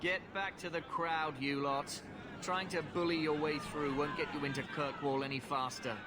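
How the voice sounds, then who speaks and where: A man playing a gruff, scornful city guardsman in a fantasy video game, curt and dismissive. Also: a little high, steady tone, moderate pace, lightly acted. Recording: noisy outdoor crowd ambience.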